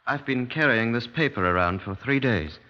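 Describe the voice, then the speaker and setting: A man's voice: medium pitch, animated, normal speed, close to an everyday voice. A British man playing an earnest, suspicious witness in a 1950s radio drama, polite but insistent. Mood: earnest, uneasy. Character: earnest, suspicious male witness visiting police. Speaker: actor in a radio crime drama. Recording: dull, muffled 1950s radio recording.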